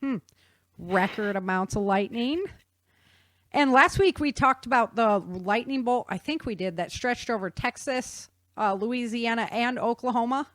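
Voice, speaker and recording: A woman's voice: a little high, unhurried, a bit bright, dry recording. Casual explainer woman with a dry, close sound. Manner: chatty, informal, checks in with listener. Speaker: show host explaining a science topic.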